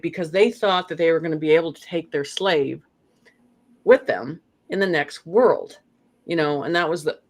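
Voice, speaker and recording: A guest in a casual spiritual conversation, rambling along with lots of "you know" and restarts. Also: mid-range voice.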